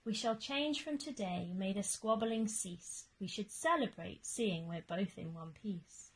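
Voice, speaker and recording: A woman's voice: mid-range voice, lively intonation, a little slow, a little hiss or hum, lightly acted, low-quality recording. A woman voicing a greedy male squirrel, a careful hoarder, in emphatic declarations for a children's picture book. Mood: amused, repentant.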